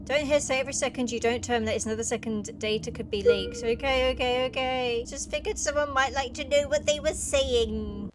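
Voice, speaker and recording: A woman's voice: high voice, fairly even intonation, fairly quick, hardly any pauses, background noise. A woman giving casual game commentary and reading on-screen text aloud as a video host. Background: clear music.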